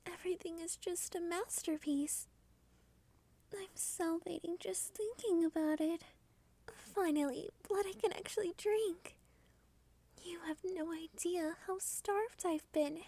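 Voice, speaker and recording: A woman playing a starved, doting yet domineering female vampire in an intimate ASMR roleplay, teasing and often slipping into breathy whispers. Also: very high voice, dark tone. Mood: eager, admiring, then hungry, intoxicated. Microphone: close studio microphone.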